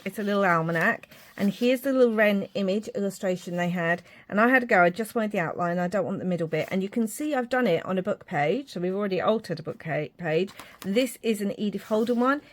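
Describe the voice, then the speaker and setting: A woman from Ireland, talking casually to her viewers while she works on a craft project, rambling a little and poking fun at her own drawing skills. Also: mid-range voice. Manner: chatty, self-deprecating, rambling with fillers. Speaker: crafting vlogger. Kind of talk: casual craft tutorial chat.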